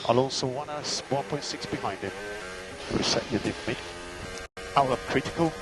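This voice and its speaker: A man's voice: mid-range voice, moderate pace, background noise. A man working as a race engineer, giving clipped, matter-of-fact instructions and gap updates over team radio, often repeating key points.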